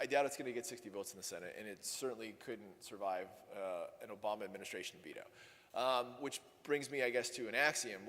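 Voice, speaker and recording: A man's voice: medium pitch, fairly bright tone. A man giving a conversational, wry conference talk on policy, often correcting himself mid-sentence. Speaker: energy policy speaker. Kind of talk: conference presentation with Q&A. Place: conference hall. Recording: long hall reverb.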